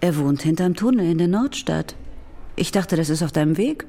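An actress playing a strict but caring schoolteacher in a children's radio play, addressing pupils in a brisk, commanding way. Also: female voice, on the low side, expressive, dark tone. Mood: patient, firm.

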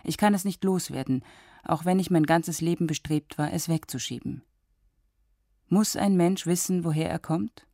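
Woman narrator, very low voice, mellow dark tone, dry close sound, composed formal reading. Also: moderate pace, gravelly, no room sound. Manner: composed, even, formal delivery. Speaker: documentary narrator. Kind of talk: scripted narration.

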